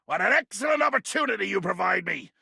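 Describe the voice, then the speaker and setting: Raspy, wildly theatrical pirate berserker. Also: male voice, high voice, big pitch swings, fast, dry recording, exaggerated voice. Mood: scheming, gloating. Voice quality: gravelly, raspy. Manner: bombastic, florid archaic pirate diction, erupting into shouts. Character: ranting, grandiose, bloodthirsty male pirate berserker, comically menacing. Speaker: voice actor. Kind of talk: theatrical video game character acting.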